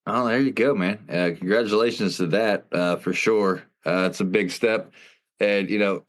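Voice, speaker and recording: A man in his thirties hosts a casual podcast chat, loud and self-deprecating. His voice is very deep and carries easily. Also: fairly even intonation, normal speed. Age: 30s. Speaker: podcast host.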